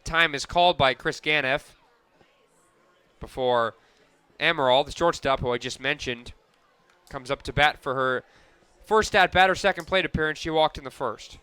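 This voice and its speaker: A man calling softball play-by-play for radio, narrating each pitch and play in crisp descriptive detail. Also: moderate pace, no echo. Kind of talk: live softball radio commentary.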